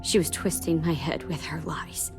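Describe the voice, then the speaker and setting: A woman's voice: low voice, steady tone, moderate pace. A woman playing a brainwashed, dutiful teenage girl soldier with a strained manner in a video game. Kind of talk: dramatic video game acting. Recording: music behind the voice.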